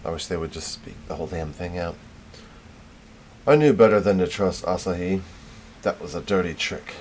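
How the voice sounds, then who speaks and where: A man's voice: low-pitched, normal speed, darkish tone, slight background noise. A man live streaming a game, chatting casually in a laid-back way with some casual swearing.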